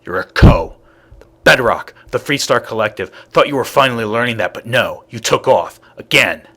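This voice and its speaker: A proud, bitter old patriarch from a frontier video game. His husky voice is full of gravel and grit, and he speaks curtly, with stern scorn. The recording is close and dry. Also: medium pitch, lively intonation, normal speed, bright, forceful tone, no echo. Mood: angry, reproachful. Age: older. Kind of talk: video game character dialogue.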